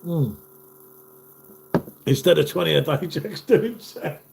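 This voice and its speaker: A man's voice: fairly high pitch, animated, slow pace, vocal fry, background noise. A man in his fifties, hosting a casual live stream and chatting matily with viewers while stuffed up from a cold. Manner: chatty, matey, calls viewers "mate".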